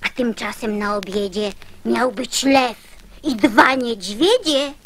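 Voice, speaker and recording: Eager high-voiced little fibber girl. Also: slow pace. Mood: eager, insistent. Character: chatty little fibber girl, eagerly spinning tall tales. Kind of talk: theatrical radio recitation of children's verse.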